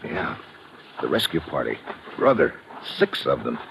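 An actor playing a tough, wry American adventurer with a sardonic, deadpan delivery in an old-time radio drama. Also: male voice, extremely low pitch, measured pace, gravelly, full character voice. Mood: wary. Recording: muffled, noisy old radio recording.

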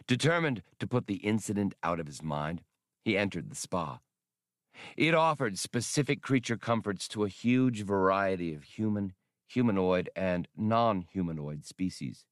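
Low-voiced man narrating an audiobook. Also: no room sound, clean studio sound. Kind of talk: scripted story narration.

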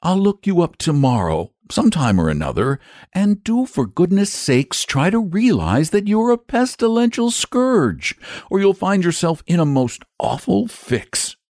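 A man's voice: high-pitched, sing-song, measured pace, darkish tone, no room sound, clean studio sound, voice pushed up, full character voice. A man voicing an earnest, polite and frank young boy in a storybook, speaking emphatically and sensibly. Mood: impatient. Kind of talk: storybook character voice.

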